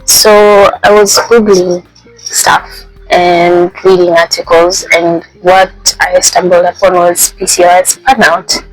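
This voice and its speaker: A young woman vlogging casually, speaking softly with a voice made raspy by a flu, pausing often with ums. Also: on the low side, flat delivery, no echo, soft background music, low-quality recording. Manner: soft-spoken, halting with many ums. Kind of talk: casual personal vlog. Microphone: handheld mic.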